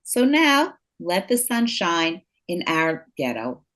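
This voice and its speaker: Woman acting an earnest wife, very animated intonation. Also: on the low side, slow pace, full character voice. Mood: hopeful, pleading. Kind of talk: stage drama acting.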